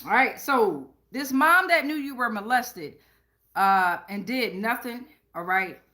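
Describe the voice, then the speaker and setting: A woman's voice: on the high side, expressive, unhurried, bright, forceful tone. A woman hosting a casual tarot livestream, talking straight to viewers in an emphatic, slangy, profane way and often checking in with tag questions. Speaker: tarot reader and livestream host.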